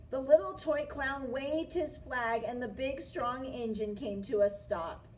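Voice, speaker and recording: A woman's voice: high-pitched, unhurried, a little mellow. A woman storyteller reading a picture book aloud expressively for children. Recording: distant mic, faint noise.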